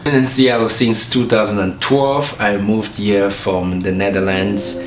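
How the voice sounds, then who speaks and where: A man from Cameroon with a Cameroonian accent, a pastor speaking in a documentary interview, measured and pausing with fillers. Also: on the low side, steady tone. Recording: roomy with faint ambient noise.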